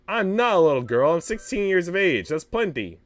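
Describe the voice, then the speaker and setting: A man's voice: high voice, sing-song, fairly quick, voice pushed up. A man voicing an indignant, prim sixteen-year-old girl in a visual novel, with music behind. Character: sixteen-year-old girl, haughty, grumpy, easily offended.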